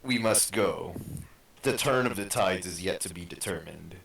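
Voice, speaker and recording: A man playing a solemn, resigned undead ninja brother in a fan drama, speaking in a measured, formal way. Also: low-pitched, creaky, fairly bright tone. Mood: solemn, firm. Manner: slow, measured, formal delivery. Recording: echoey room, distant mic.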